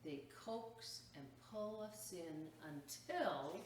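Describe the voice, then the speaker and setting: A woman's voice: on the low side, expressive, slow. A woman reading a picture book aloud to children as a story time host, expressively drawing out words and adding chatty, explanatory asides. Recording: echoey room, distant mic, faint noise.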